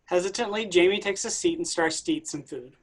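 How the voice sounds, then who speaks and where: A woman's voice: fairly low pitch, a little fast, breathy edge. A woman giving scripted narration, reading out the stage directions of a play.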